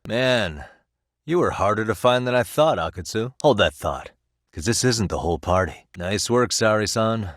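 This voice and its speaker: A dogged, cool-headed male private detective from a video game, with a low voice. He talks in a dry, conversational way with streetwise confidence. The sound is tight and free of room echo. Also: expressive, normal speed, a bit bright. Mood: pressing, tough. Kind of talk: English video game voice acting.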